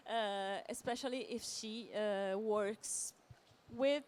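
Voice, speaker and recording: A woman giving a semi-improvised conference presentation as a literary translator, halting and often repeating words as she searches for phrasing. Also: mid-range voice, darkish tone. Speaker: literary translator, panel speaker. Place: live talk at a book fair event. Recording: clear background noise.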